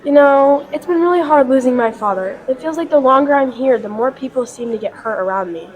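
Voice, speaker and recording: A woman's voice: sits high, animated, brisk, poor audio. A woman playing a blunt, dramatic young heroine in a student radio play. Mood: earnest.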